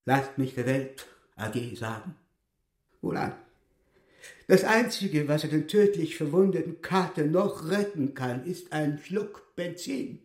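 A man playing a huge talking tomcat in a radio drama, cheeky and self-important, with a theatrical, drawn-out delivery. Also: a little high, expressive, reverberant. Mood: melodramatic dying.

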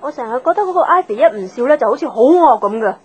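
An actress playing a nosy, catty young office gossip in a radio drama, snappy in manner. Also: female voice, sits high, lively intonation, rapid-fire, a little mellow, husky. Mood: catty, indignant. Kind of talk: Cantonese radio drama dialogue. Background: background music.

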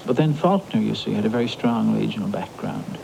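A man from Newfoundland, a novelist, giving deliberate, opinionated views in an interview on archival tape. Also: lowish voice, creaky, dark tone. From Newfoundland, Canada. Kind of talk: reflective interview talk. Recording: noisy archival documentary tape.

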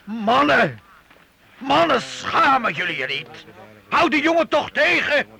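An actor playing an anguished, protective medieval master in a Dutch radio play. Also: male voice, very high voice, sing-song, slow, vocal fry, bright, forceful tone, breathy edge, heavily acted. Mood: anguished, pleading.

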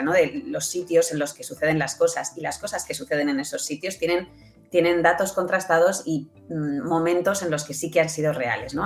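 Low-pitched Spanish woman explaining in an interview. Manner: explanatory, seeks agreement with tag questions. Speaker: interview guest presenting an audio drama. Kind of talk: conversational interview.

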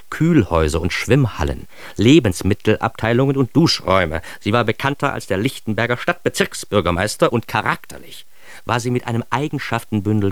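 A man's voice: deep voice, no echo. An actor playing an ironic, self-important man who narrates his childhood in a radio drama, with wry, mock-grandiose emphasis. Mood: mock-proud. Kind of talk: scripted first-person radio drama narration.

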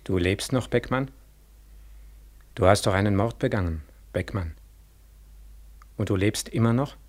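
An actor in a 1940s German radio drama playing a dead war returnee, a mournful, gently accusing ghost who speaks slowly and solemnly with heavy pauses. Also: male voice, fairly low pitch, lightly acted. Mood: mournful accusation. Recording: 1940s radio studio recording.

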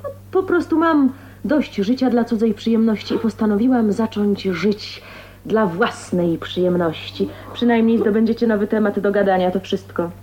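An actress playing a defiant, teasing young unmarried daughter, flippant and cool, in a radio drama. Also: female voice, medium pitch, normal speed, warm tone, lightly acted. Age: young adult. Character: defiant, teasing 29-year-old spinster daughter. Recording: dull old radio recording with hum.

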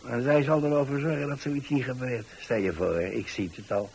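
A man's voice: medium pitch, steady tone, brisk, gravelly, a little mellow, husky, rough recording. An actor playing a kindly older landlord in a radio monologue, chatty and hesitant as he rambles along. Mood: amused. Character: kindly rambling old pensioner landlord, widower-like homebody.

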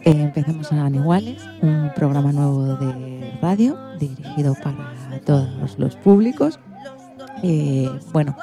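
A woman with a Spanish accent from Spain hosts an informal radio programme. Her voice sits low and is very dark and soft-edged. She explains things to families, with faint music underneath. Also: gravelly. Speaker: radio show host.

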